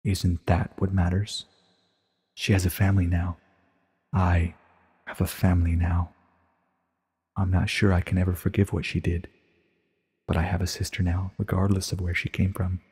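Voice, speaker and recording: A man voicing an ancient, regretful pony princess in a dramatic story reading, grave and measured, with a soft husky voice. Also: sits low, monotone, long reverb tail. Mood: wistful regret.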